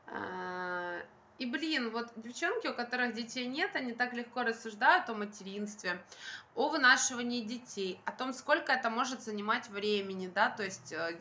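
A woman's voice: fairly high pitch, bright, forceful tone. A young woman vlogger chatting casually to her phone indoors, talking emphatically and colloquially with repeated phrases. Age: young adult. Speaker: vlogger and mother. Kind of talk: casual chat to camera. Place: indoor room by a window. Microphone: phone at arm's length.

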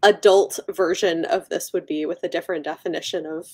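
A woman's voice: fairly low pitch, steady tone, a little fast, darkish tone. A young adult woman co-hosting a book livestream in a casual, musing way, often trailing off. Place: room at home, indoors.

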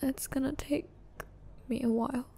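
A woman playing a tender, sad young girlfriend in an intimate ASMR roleplay, speaking softly and hesitantly in a breathy half-whisper. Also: high-pitched, steady tone, full character voice. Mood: tender, grateful. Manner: hesitant, soft, halting.

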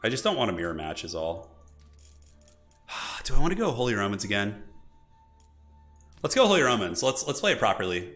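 A man live-streaming a strategy game, chatting casually and enthusiastically with viewers in a slightly raspy voice from an irritated throat. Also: lowish voice, sing-song, fairly quick, long pauses, a bit bright, faint music behind. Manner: enthusiastic, informal, thinking aloud. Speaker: livestreamer, esports website organizer.

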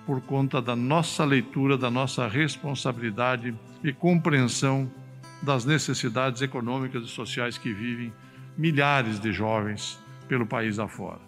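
A man's voice: medium pitch, unhurried, a bit bright. A middle-aged man with a Brazilian Portuguese accent speaks formally into a podium mic. The large hall rings with long reverb, and faint music runs underneath. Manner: formal, oratorical. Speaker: university official. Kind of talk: formal speech. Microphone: podium mic on stand.

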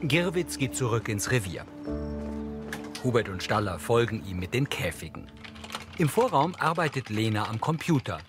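A man's voice: medium pitch, very animated, normal speed, music in the background. A man reading scripted audio description narration for a television drama.

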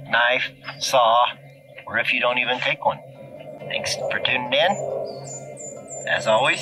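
Middle-aged man, average pitch, relaxed plainspoken gear talk, outdoors, faint music behind. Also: poor audio. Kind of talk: casual gear review to camera. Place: outdoors in open scrubland.

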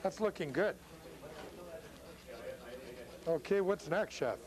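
A middle-aged man with a slightly high voice hosts a casual cooking demonstration. He is chatty and jokey, speaking over the busy background noise of a restaurant. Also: animated, moderate pace, a crowd in the background. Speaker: cooking show host, chef. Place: restaurant bar room, indoors. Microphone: lapel.

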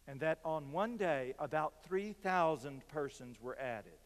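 Older man preaching slowly in a church. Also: a little high. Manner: slow, measured preaching with dramatic pauses. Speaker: pastor. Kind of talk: sermon. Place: large church sanctuary, at a pulpit. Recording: long reverb from church hall.

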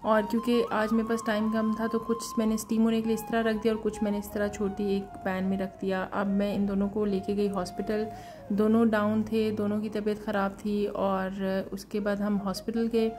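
A woman in her thirties calmly narrating her busy day as a mother, talking unhurriedly with frequent pauses and fillers and mixing in English words. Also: mid-range voice, faint music behind. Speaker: vlogger, mother.

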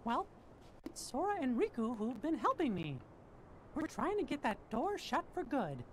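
A man voicing an earnest, heroic little mouse king in a video game, in a high falsetto. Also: very animated, moderate pace, slight background noise. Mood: determined. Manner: earnest, urgent. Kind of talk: video game cutscene acting.

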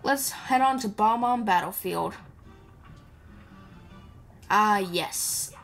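A woman's voice: medium pitch, takes it slowly. Chatty woman gamer over game music. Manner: chatty, playful, mumbles asides to the game character. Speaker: gamer hosting a playthrough. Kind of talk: casual improvised gameplay commentary. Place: indoor voice-over recorded over game audio. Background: video game music.

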